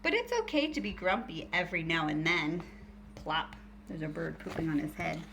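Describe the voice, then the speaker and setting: A woman teacher reading a picture book aloud to young children in an expressive, deliberate storytelling way. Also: sits low, vocal fry, slight background noise.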